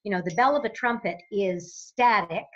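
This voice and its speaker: Bright-voiced woman coaching on voice, slightly dull recording. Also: mid-range voice. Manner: demonstrative, explanatory, deliberately varies resonance. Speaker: voice coach guest. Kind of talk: instructional interview explanation.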